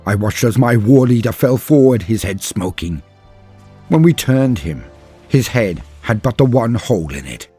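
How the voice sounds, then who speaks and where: A man playing a grave, embittered space-marine veteran, solemnly narrating a dramatic war story in a husky voice with deliberate, heavy pauses. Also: medium pitch, expressive, dark tone, soft background music. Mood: haunted, grim. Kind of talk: dramatic scripted first-person story narration.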